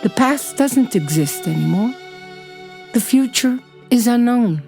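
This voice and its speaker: A woman playing a kindly, affectionate elderly grandmother in a children's audiobook, speaking in a gentle, reassuring way. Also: fairly high pitch, expressive, measured pace, gravelly, soft background music. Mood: wistful, reassuring. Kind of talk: children's audiobook character acting.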